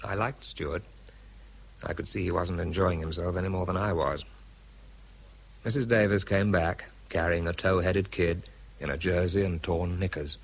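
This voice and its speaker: An actor with a British accent playing a wry, self-deprecating wartime bomb expert in a radio drama, dry and understated. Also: male voice, low-pitched, steady tone, normal speed. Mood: reflective. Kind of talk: radio drama narration and dialogue.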